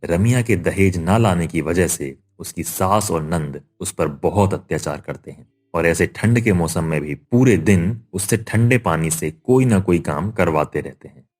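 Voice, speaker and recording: A man with a very low voice narrates a cartoon tale from a script. Soft music plays underneath him as he tells the story. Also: expressive, unhurried, few pauses.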